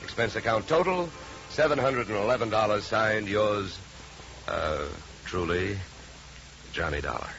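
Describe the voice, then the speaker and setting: Low-voiced tough American detective. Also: male voice, animated, a little slow, pauses often, a bit bright, slight background noise. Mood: wry, matter-of-fact. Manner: clipped, hard-boiled, wry. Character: tough, wry male insurance investigator. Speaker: lead actor. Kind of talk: 1950s detective radio drama acting and narration. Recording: muffled 1950s radio recording, music.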